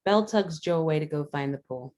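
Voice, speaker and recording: A woman's voice: low voice, fast, darkish tone. A woman narrator reading screenplay stage directions aloud in a scripted style.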